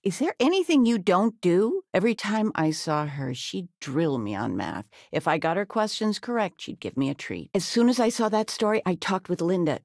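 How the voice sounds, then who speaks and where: An actress voicing an affectionate, chatty retired mother in a video game, teasing and expressive. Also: on the low side, normal speed, hardly any pauses, dry recording, clean studio sound. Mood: teasing, exasperated, then reminiscing, then proud, delighted. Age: older. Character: doting, chatty retired mother of grown space explorer. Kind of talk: video game character dialogue.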